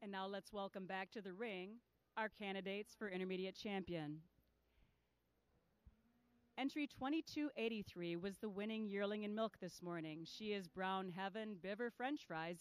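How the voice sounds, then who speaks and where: A woman working as ring announcer, reading out entries, exhibitors and sponsors in a measured, formal way at a large indoor show arena. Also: mid-range voice, smooth, dry recording. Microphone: handheld PA microphone.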